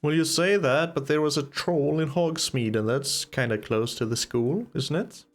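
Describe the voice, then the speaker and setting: A man's voice: fairly high pitch, moderate pace. A Swedish man with a Swedish accent commenting casually on a game he plays, with dry, wry remarks. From Sweden. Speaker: let's player. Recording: voice over game with faint music.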